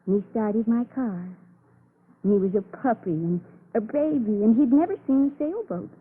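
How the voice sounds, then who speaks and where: An American actress playing a tipsy, defiant, brittle woman in a 1950s radio crime drama, halting and insistent. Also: on the high side, expressive, slightly rough. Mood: dazed, bitter. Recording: narrow, tinny old radio recording.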